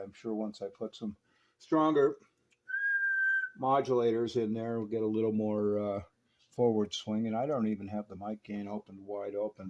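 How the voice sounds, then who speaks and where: A man's voice: lowish voice, dark tone, muffled recording. An American man giving an informal, hands-on repair walkthrough as a technician, using technical hobbyist jargon. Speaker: radio technician and collector presenting restoration.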